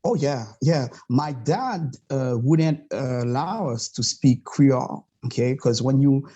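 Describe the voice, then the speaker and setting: A man from Montreal whose first language is French, a podcast guest talking in a halting, passionate way with many fillers. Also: medium pitch, mellow, muffled recording. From Montreal, Canada. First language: Quebec French. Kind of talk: casual interview talk.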